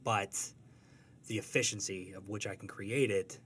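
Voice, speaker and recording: A man being interviewed as a guest, talking in a casual, halting, self-deprecating and joking way. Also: on the low side, steady tone, a bit bright, a little hiss or hum. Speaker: guest, comic strip artist.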